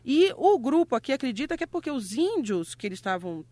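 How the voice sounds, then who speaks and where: A woman's voice: high voice, sing-song, quick pace, dark tone, dry recording. A woman from Rio de Janeiro who grew up in Salvador, hosting a cheerful chat and explaining local history, laughing often. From Rio de Janeiro, raised in Salvador. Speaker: host. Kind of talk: light educational radio chat.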